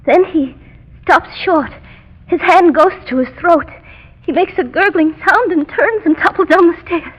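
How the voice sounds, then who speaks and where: High-voiced haunted young heiress. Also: female voice, a little slow. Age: young adult. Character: haunted, fragile young heiress, earnest and fearful. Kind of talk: 1930s radio melodrama acting. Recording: muffled, echoing 1930s radio recording.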